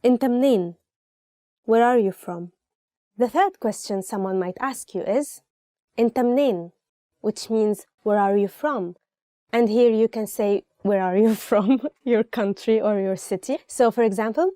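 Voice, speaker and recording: A woman's voice: fairly high pitch, normal speed, mellow, no echo, clean studio sound. A woman from Egypt with an Egyptian accent, a native Arabic speaker, hosting a language lesson in an informal, explanatory way. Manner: conversational, explanatory, giving examples.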